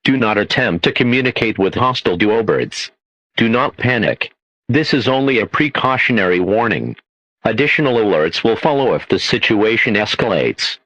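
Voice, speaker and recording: Guy doing official emergency broadcast voice, kinda low, measured n stern, very dry audio. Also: big pitch swings, fairly bright tone, no echo, rough recording. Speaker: emergency alert announcer. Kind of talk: formal scripted emergency broadcast announcement.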